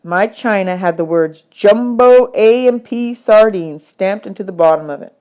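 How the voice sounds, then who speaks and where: A woman reading a children's novel aloud as expressive audiobook narration. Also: medium pitch, moderate pace. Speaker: audiobook reader.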